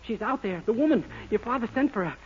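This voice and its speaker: A man's voice: extremely high pitch, lively intonation, fast talker. An actor playing a naive, eager young man who spins wild tales in a 1940s radio sitcom. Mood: flustered. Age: young adult. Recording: muffled, hissy old 1940s radio recording.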